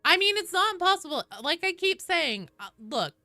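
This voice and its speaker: A woman's voice: very high-pitched, big pitch swings, normal speed, sharp tone, no room sound, soft background music. A woman hosting a livestream, talking casually and explaining game lore with firm opinions and casual swearing. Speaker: live streamer host.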